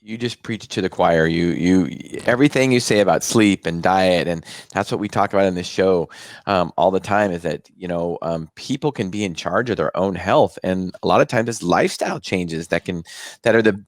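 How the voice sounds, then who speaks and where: An American man with a fairly low voice talks as the host of a health show. His delivery is conversational and explanatory, circling back over phrases as he goes. The sound is very dry, with no room echo. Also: moderate pace. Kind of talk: conversational interview talk.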